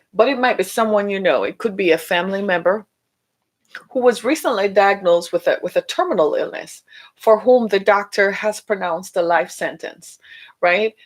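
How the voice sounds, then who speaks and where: A middle-aged woman hosting a solo podcast, who is losing her voice and talks chattily, often asking listeners "right?", going up in pitch when she mocks someone. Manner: animated, rising pitch when mocking. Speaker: podcast host.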